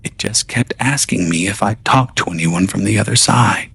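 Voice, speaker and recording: A thoughtful young colonist speaks quietly and evenly, as the narrator reads his lines. His voice sits low with a gravelly edge. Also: moderate pace, heavily acted. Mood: pensive.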